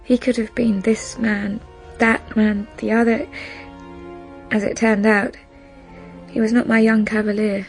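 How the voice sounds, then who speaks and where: A woman playing a wistful young bride who recalls her past in a measured, reflective radio drama monologue. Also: on the high side, little pitch movement, gravelly, soft background music, low-quality recording.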